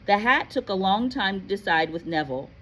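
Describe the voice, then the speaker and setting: A woman reading a story aloud in an animated, playful, expressive way, like a teacher. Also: medium pitch, a little fast, a little hiss or hum. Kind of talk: expressive read-aloud narration with casual asides.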